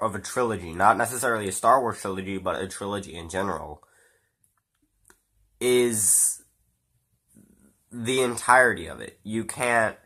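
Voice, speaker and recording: A man's voice: on the low side, bright, forceful tone. A man with a stuffy nose from a cold, giving a rambling, casual spoken film review with fillers and the odd swear. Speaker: movie reviewer.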